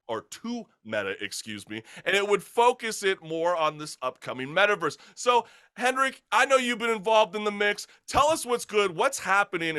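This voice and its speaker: Guy hosting a chatty talk show, really bright forceful voice, slangy and hyped. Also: high voice. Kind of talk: casual talk show chat.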